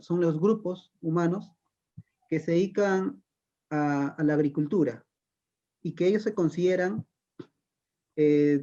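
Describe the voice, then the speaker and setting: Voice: mid-range voice, dark tone, lo-fi sound. An interviewer asking a long, conversational question, hesitating and repeating words.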